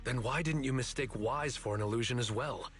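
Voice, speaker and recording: A suspicious, indignant male teammate in a game story, played by an actor. His mid-pitched voice is bright and forceful. He talks bluntly, as if lodging a complaint. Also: brisk, soft background music, lightly acted. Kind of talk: video game story voice acting.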